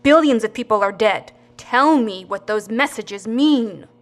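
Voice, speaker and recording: A woman's voice: on the high side, expressive, unhurried. An actress playing a handcuffed former military woman who speaks bluntly and presses hard for answers. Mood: demanding. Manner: quiet then blunt and demanding. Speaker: captive, former soldier.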